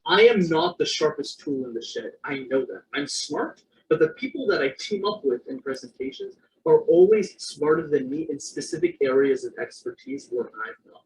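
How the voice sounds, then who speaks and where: A man speaking in a played video clip, delivering a persuasive, very animated presentation. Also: fairly high pitch, normal speed, hardly any pauses. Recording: distant mic with faint noise, played clip.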